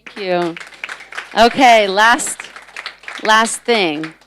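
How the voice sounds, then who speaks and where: A woman moderating a panel, making her closing remarks in a gently persuasive way. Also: medium pitch, drawn-out words, some chatter behind, a little hiss or hum.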